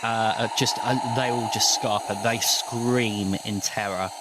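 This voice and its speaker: Slow-paced man running a tabletop game. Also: fairly low pitch, fairly even intonation, very noisy. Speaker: game master. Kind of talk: improvised tabletop game narration.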